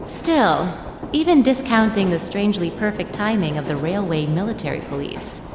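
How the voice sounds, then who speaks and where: A woman's voice: lively intonation, normal speed, natural voice. An actress playing a wry, knowing woman in a video game, musing to herself. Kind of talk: video game cutscene dialogue acting. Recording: echoey, clear train rumble behind.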